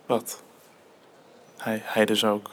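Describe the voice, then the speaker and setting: A man's voice: on the low side, monotone, takes it slowly, fairly bright tone. A man playing an earnest, guarded teenage son in a radio drama, speaking hesitantly. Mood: flat.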